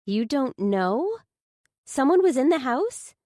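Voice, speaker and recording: A woman's voice: sits high, very animated, takes it slowly, warm tone, no echo, clean studio sound, voice pushed up, exaggerated voice. A woman voicing a frantic, protective aunt who fires anxious questions in a thriller audiobook. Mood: alarmed, urgent.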